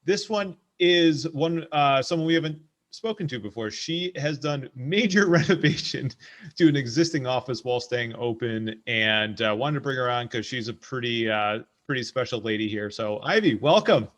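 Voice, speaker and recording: A man's voice: mid-range voice, normal speed, a bit bright. Man, podcast host, mid-range voice, casual interview talk.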